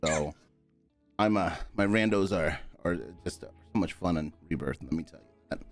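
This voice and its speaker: A man hosting a casual interview chat, animated and slangy, laughing often. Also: low voice, moderate pace, faint music behind. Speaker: podcast host.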